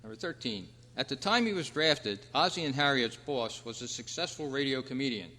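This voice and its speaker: A man hosting a trivia quiz at a club meeting, reading out questions in a measured, announcing way. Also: mid-range voice, expressive, sharp tone. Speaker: quiz host. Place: hall at a convention club meeting. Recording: reverberant hall, clear background noise and hum.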